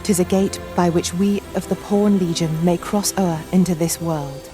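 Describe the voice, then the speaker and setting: A woman's voice: on the low side, fairly even intonation, moderate pace, music in the background, close to an everyday voice. A woman playing a courteous, reverent pawn guide in a fantasy game, formal, archaic and deferential. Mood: reverent, calm.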